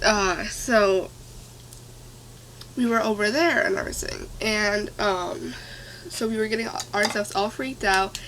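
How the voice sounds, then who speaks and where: A young woman with a stuffy nose telling a scary story to her camera, rambling and excitable with lots of fillers. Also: medium pitch, a little hiss or hum, poor audio. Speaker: vlogger. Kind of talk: casual storytime.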